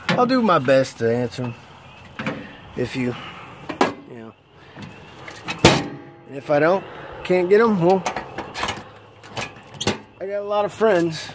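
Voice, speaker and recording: Profane trucker talking outdoors over traffic. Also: male voice, high voice, expressive, slow, pauses often. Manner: casual and profane, rambling with restarts. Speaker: flatbed truck driver. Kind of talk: casual how-to vlog. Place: outdoors beside a flatbed trailer. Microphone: handheld phone camera, close. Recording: loud outdoor noise. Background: traffic and vehicle noise.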